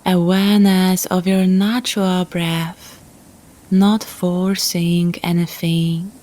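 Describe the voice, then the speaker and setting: A woman guiding a relaxation meditation in a calm, gentle, soothing manner, leaving long pauses between phrases. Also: on the low side. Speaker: yoga nidra guide.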